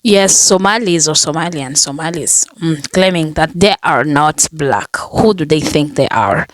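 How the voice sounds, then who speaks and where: A woman's voice: low voice, measured pace. A woman hosting a reaction video, chatting casually, thinking aloud and laughing often.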